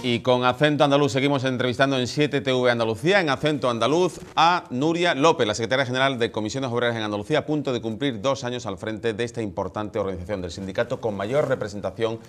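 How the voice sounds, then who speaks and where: A man's voice: mid-range voice, soft background music. A male television host introducing and running a studio interview. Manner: brisk announcer delivery. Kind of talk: TV interview hosting.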